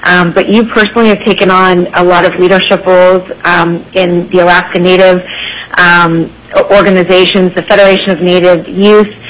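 A man's voice: high-pitched, level tone, normal speed, a bit bright, rough recording. A man chairing a legislative hearing, earnestly introducing a witness with many "um" fillers.

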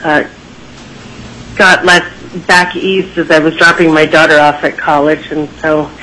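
A woman with a stuffy, congested voice, giving measured formal testimony to a legislative committee. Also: low-pitched, level tone, sharp tone, slight background noise, low-quality recording. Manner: measured, frequent "uh" pauses while listing names. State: stuffy nose from a sinus infection. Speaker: corporate executive testifying to a legislature.

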